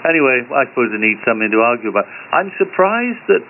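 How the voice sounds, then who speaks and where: Older British man, ham radio operator, wry and halting casual talk, narrow hissy signal. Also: mid-range voice, sing-song. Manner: hesitant, wry, teasing. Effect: ham radio transmission. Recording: tinny shortwave radio link with hiss.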